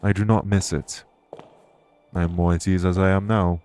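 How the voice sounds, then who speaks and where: A man playing a courteous former king turned fae official in an audio roleplay, speaking formally and with measured reassurance. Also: low-pitched, level tone, a little mellow, soft background music, close to an everyday voice. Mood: reserved, matter-of-fact. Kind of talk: audio roleplay spoken to the listener.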